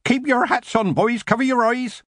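A man voicing feisty old war veterans in a storybook, yelling with glee. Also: very high voice, moderate pace, no room sound, studio quality, pitched above the performer's own voice. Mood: gleeful. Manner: yelling, gleeful.

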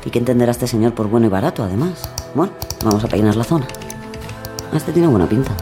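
An actress from an audio fiction, with an accent from Spain, playing a flustered, exasperated but kind-hearted woman, speaking colloquially with halting foreign phrases and muttered asides. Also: rumbling low, warm tone, soft background music. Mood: casual, focused.

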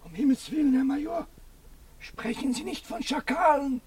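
An actor in a radio play playing a flustered, alarmed servant with a husky voice, exclaiming urgently. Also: male voice, very high-pitched, normal speed, darkish tone. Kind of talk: German radio play acting. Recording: distant from the microphone.

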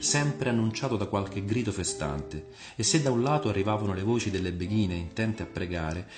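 A man with a fairly low voice narrates a literary historical tale. His delivery is measured and dramatic, like a storyteller at work. Music plays beneath the voice. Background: music under the voice.

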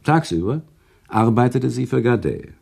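An actor playing a grave, compassionate author in a German radio play, telling his story in measured, literary, earnest speech with a low gravelly voice. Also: sing-song, darkish tone, exaggerated voice. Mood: earnest recounting. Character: grave, compassionate male author recounting a friend's tragic love.

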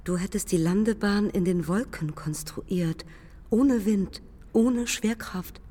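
A woman's voice: medium pitch, takes it slowly, warm tone. An actress playing a daring, intense 1920s woman aviator in a poetic radio drama, speaking in clipped, incantatory fragments. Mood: wistful. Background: music under the voice.

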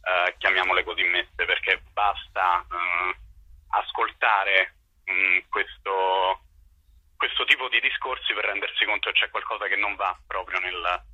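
Very sharp, lowish male voice on dull phone. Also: flat delivery, measured pace, husky, no echo. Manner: hesitant, searching for words. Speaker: playwright, interview guest. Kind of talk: spontaneous interview answers. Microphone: telephone.

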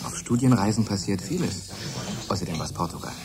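An actor playing a shy, lovesick young research assistant, earnest and stammering, in a German radio drama. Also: male voice, low voice, expressive, fast talker, over a noisy crowd. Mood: doubtful. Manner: hesitant, stammering.

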